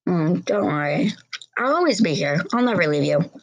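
A woman voicing a gentle, protective teenage brother who talks softly and reassuringly. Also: lively intonation, normal speed. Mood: tender. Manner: soft, reassuring, low-key.

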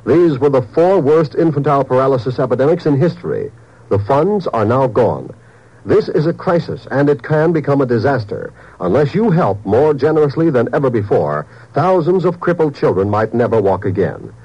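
A man's voice: medium pitch, sing-song, normal speed, dark tone, breathy edge. A man reading a scripted 1950s radio charity appeal as an announcer, earnest, solemn and emphatic. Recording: dull old-time radio recording.

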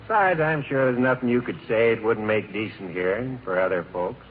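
Homespun older American local played by a man, friendly and easygoing, radio-play acting; tinny, clearly noisy vintage recording. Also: fairly low pitch, a little fast, close to an everyday voice. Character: folksy, friendly small-town older local fellow. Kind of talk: mid-century American radio drama acting.

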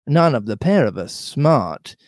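A man's voice: medium pitch, sing-song, a little slow, dry recording, studio quality. A man voicing a jovial, wily old one-legged ship's cook with emphatic, rustic nautical speech in a storybook reading. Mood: hearty, blustering.

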